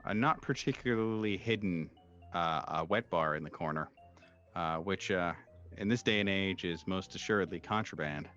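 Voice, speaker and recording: A low-voiced man acts as game master for a tabletop horror session. He describes scenes off the cuff in halting, searching phrases, in a fairly unprocessed voice. Music plays clearly behind him. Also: a bit bright, lo-fi sound. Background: background music.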